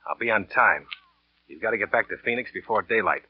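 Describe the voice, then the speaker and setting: An actor playing a cool, methodical murder plotter in a terse 1940s radio noir style. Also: male voice, fairly low pitch, animated, brisk, no room sound. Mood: cool, commanding. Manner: terse, controlled. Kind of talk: 1940s radio noir acting, hard-boiled. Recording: dull, hissy vintage radio recording.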